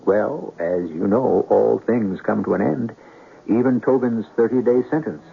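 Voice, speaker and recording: A man hosting and narrating a scripted radio drama in a wry, measured, theatrical manner. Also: on the low side, gravelly, slightly rough. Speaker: host and narrator. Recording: tinny radio broadcast with music. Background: music.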